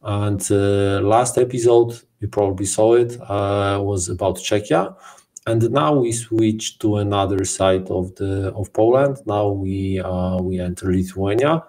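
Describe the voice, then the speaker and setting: A man co-hosting a podcast, introducing the episode and guest in a halting way with frequent fillers. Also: lowish voice, a little mellow, far from the mic. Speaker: podcast co-host.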